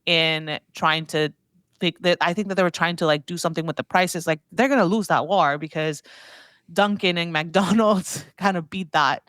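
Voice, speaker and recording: A woman speaking as a guest commentator in a casual podcast discussion, halting and leaning heavily on "like" as she talks. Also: on the low side, a bit bright, no echo, studio quality. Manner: halting, heavy use of "like". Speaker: guest commentator on grocery and consumer brands.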